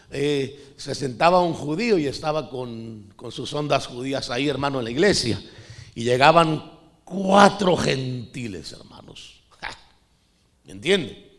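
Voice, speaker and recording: A man's voice: a little high, fairly bright tone. A middle-aged man from Costa Rica preaching a sermon in a conversational way, often calling the listeners brother. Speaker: pastor.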